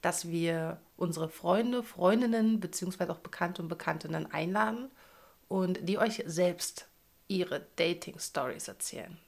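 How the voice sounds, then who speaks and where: A woman in her thirties from Berlin hosts a casual podcast. She talks in a playful, teasing way and laughs easily. Her voice sits a little low. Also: a little slow, vocal fry, darkish tone. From Berlin, Germany. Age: 30s. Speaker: podcast co-host. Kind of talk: casual chat.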